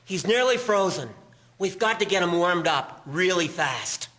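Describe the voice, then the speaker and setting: A man's voice: high voice, animated, measured pace, bright, forceful tone, heavily acted. An actor playing an urgent, kindly man coming to the rescue in an audio drama, speaking with reassurance. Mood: breathless urgency. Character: urgent, kindly male passer-by rescuing a boy. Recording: echoey reverb with clear hiss.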